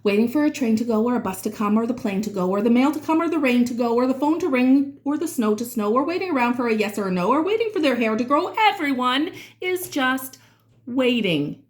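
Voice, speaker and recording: A woman reading rhyming verse aloud for children in an expressive, dramatic way, drawing out certain words. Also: a little high, fairly quick. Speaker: children's read-aloud reader.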